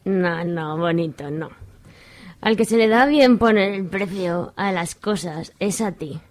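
A woman's voice: on the low side, a little slow, lightly acted. Brazen, scheming middle-aged hippie mother played by a woman. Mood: taunting, vengeful. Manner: sly, taunting. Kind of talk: amateur radio soap acting.

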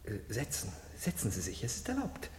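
A gruff yet servile court guard in an old radio drama. His lowish voice is curt and halting. It sounds distant in an echoing hall, with faint noise behind it. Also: wildly swooping pitch, darkish tone. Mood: flustered, obedient. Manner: curt, halting, deferential to superior. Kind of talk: 1970s radio play acting.

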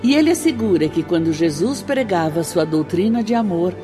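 A woman's voice: fairly low pitch, animated, moderate pace, close to an everyday voice. A Brazilian woman acting an exalted spirit sister who instructs souls in formal, reverent radio-drama oratory. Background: music.

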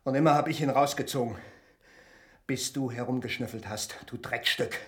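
A man's voice: medium pitch, moderate pace, close to an everyday voice. An actor playing a menacing, aggrieved young man who threatens and accuses, in a radio drama. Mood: bitter, accusing. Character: menacing, aggrieved young male intruder with a knife.

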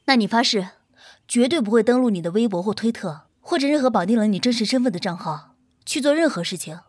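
A woman's voice: on the high side, lively intonation, quick pace, a little mellow, no echo, studio quality. A woman acting a strict, exasperated celebrity manager in an audio drama, firm, admonishing and businesslike. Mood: demanding.

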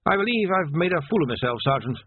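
A man voicing a high, flustered old captain. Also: big pitch swings, fast talker, husky, no room sound. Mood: embarrassed, muttering. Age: 60s. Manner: hesitant, old-fashioned military bluster. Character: flustered, ageing police captain, nearly seventy, proud but doubting. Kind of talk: audiobook character voice. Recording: narrow, phone-like band.

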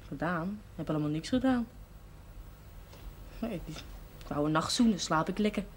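A woman's voice: on the low side, animated, normal speed, natural voice. A woman playing a brash, cheerful young pregnant woman in a television period drama, slangy and unashamed. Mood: defiant, frank. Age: young adult. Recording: noisy old television soundtrack.

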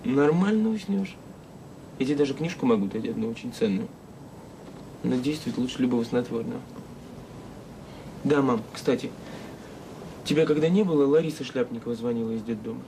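A man's voice: mid-range voice, a little fast, vocal fry, pauses often, dark tone, natural voice, low-quality recording. Actor as young guy talking to his mom, boyish, direct, caring, radio play w/ light noise. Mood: caring, teasing. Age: young adult. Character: young grown son, caring, questioning his mother. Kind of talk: naturalistic Soviet radio drama acting.

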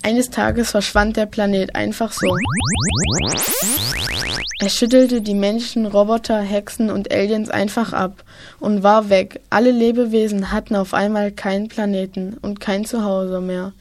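A woman's voice: mid-range voice, flat delivery, unhurried, darkish tone. A woman reading scripted narration as the storyteller of a children's audio play.

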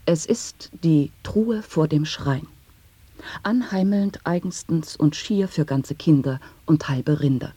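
A woman reading experimental sound poetry in a radio play, rolling through the wordplay in a steady rhythm. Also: low-pitched, takes it slowly, slightly rough, dry recording. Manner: rhythmic tongue-twister delivery.

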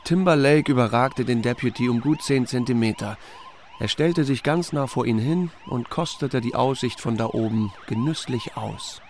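An actor playing a laconic, wry small-town sheriff who narrates a radio drama in a gravelly, dry, unhurried voice. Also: male voice, mid-range voice, darkish tone, a little hiss or hum, natural voice. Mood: dry, observant. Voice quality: gravelly, creaky. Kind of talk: scripted first-person drama narration.

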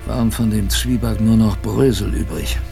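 A man's voice: lowish voice, fairly even intonation, moderate pace, creaky, mellow, faint music behind. An actor playing a former ship's boy who narrates his memories in a radio drama, conversational, vivid and self-mocking. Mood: wondering, ominous. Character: reminiscing former ship's boy, a wry male storyteller.

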